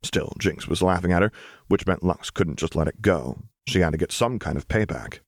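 A man's voice: low voice, lively intonation, moderate pace, gravelly, no room sound, studio quality. A man reading scripted fiction as an audiobook narrator.

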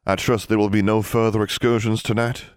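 A man's voice: fairly low pitch, level tone, clear, resonant tone, dry recording, studio quality, pitched above the performer's own voice, natural voice. Man voicing a stern, commanding mother. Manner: firm, formal, measured. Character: stern, authoritative mother scolding girls.

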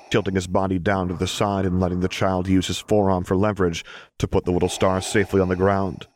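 Low, even-toned male audiobook narrator. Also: slight background noise. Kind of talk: scripted fiction narration.

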